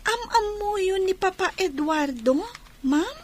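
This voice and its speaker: A woman's voice: extremely high pitch, animated, a little slow. A woman playing a cheerful, affectionate young adopted daughter in a Philippine radio drama. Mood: earnest, affectionate.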